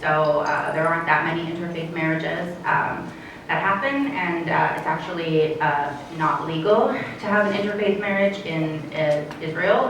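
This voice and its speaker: A Canadian woman speaking as a conference panelist, earnest and measured, with frequent fillers. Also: sits low, background noise. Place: conference hall. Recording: very echoey hall, distant.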